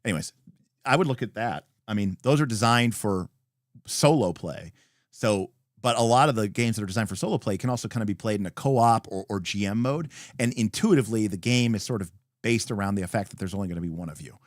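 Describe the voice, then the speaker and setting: A man's voice: fairly low pitch, fairly quick, no echo, clean studio sound. A man hosting a livestream, chatting casually and unscripted, thinking aloud in a rambling, emphatic, opinionated way as he responds to viewers. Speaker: livestream host. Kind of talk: reading and answering viewer comments.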